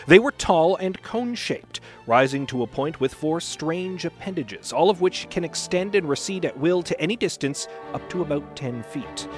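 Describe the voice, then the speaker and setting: A man reads from a script. His voice is a little higher than average, and music plays clearly behind him. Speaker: podcast host, ad reader and dramatic reader. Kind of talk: scripted reading.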